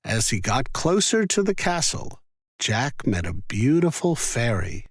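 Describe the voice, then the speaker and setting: A man tells a children's fairy tale at a slow, careful pace. His mid-pitched voice rises and falls expressively, and the recording is dry and close. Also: sing-song, breathy edge, dry recording. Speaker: storyteller.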